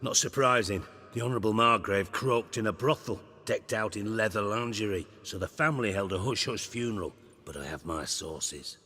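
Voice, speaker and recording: A man's voice: fairly low pitch, moderate pace, hardly any pauses, pushed and bright, slightly rough, big-room sound, heavily acted. An actor voicing a sardonic, bossy, middle-aged male spymaster in a video game, sarcastic, imperious and crude.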